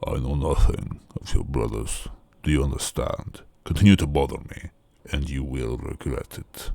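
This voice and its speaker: A man's voice: very deep voice, creaky, dark tone, slight background noise, voice pushed down. A man voicing an enormous metal smith god in a story reading, stony, imperious and menacing. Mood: cold, threatening. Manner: stony, slow-burning, imperious.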